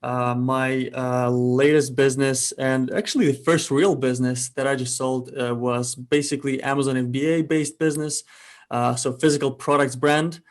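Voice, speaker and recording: A young man from Ukraine, telling his story as a guest in a casual interview, relaxed and thoughtful with frequent pauses. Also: mid-range voice. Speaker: guest entrepreneur.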